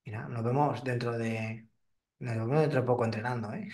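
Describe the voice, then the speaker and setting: A man's voice: medium pitch, steady tone, brisk, a little mellow. Man with restarts, roomy and distant. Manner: hesitant, many restarts and fillers. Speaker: host and fitness trainer. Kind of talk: casual interview.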